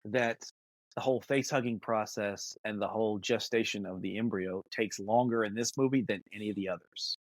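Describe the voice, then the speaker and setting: A man's voice: on the low side, steady tone, a little fast, dry recording, poor audio. A man co-hosting a podcast, chatting casually in a musing, analytical way. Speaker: podcast co-host. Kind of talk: casual film discussion chat.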